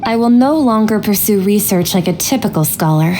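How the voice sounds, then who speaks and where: A woman's voice: medium pitch, lively intonation, brisk, dark tone, slight background noise, close to an everyday voice. A woman voicing an earnest, idealistic young desert scholar in a video game, speaking formally and articulately. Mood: polite, hopeful. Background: game background music.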